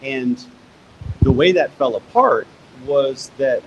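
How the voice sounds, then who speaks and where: A man, the guest author at a live onstage conversation, explaining history in a measured, step-by-step way that builds emphasis. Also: mid-range voice, expressive, dull audio, background noise.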